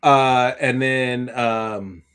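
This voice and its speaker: A man's voice: mid-range voice, fairly even intonation, very slow, fairly bright tone, smooth. A tired man hosting a podcast, chatting casually in a rambling way and often backtracking mid-sentence. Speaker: podcast host. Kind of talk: casual chat.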